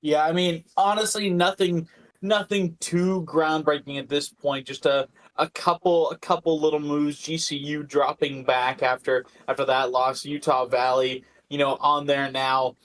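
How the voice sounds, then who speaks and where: A man's voice: on the high side, level tone, a little slow, a bit bright, rough recording. A man co-hosting a sports podcast, giving casual analysis and often repeating words as he thinks. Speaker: podcast co-host.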